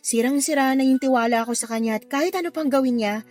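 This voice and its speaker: A woman's voice: high-pitched, quick pace, darkish tone, soft background music, close to an everyday voice. Woman acting guarded, heartbroken younger sister. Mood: hurt, bitter. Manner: reluctant, wry, sighing. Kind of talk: Tagalog radio drama acting.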